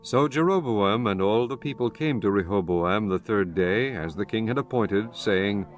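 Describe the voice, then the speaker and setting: Low-voiced solemn male scripture narrator. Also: animated, normal speed, lo-fi sound. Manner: solemn, formal storytelling. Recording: music bed behind the voice.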